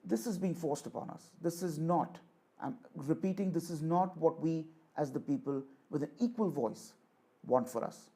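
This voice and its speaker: A man giving a rhetorical political speech in a declamatory, deliberate manner, repeating phrases for emphasis. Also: on the high side, mellow, breathy edge. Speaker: orator reading a voice sample.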